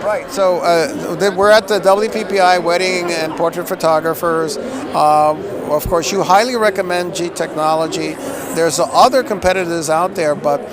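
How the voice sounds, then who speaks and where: Halting interviewer over crowd noise. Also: male voice, sits high, fairly even intonation. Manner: halting, many restarts and fillers. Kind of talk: trade show interview. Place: busy trade show floor. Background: crowd chatter.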